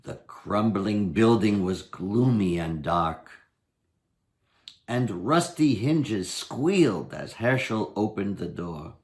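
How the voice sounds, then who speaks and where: An older man in his sixties reads a storybook aloud in a dramatic style. His pace is slow and unhurried, with very varied intonation. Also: lowish voice, expressive, a little mellow. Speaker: storybook reader. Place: indoors, home dining room.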